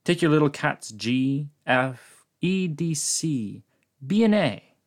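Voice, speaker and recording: A man's voice: a little high, animated, takes it slowly, voice pushed up. A man reading aloud as a child scolding the cat, in a sing-song listing voice.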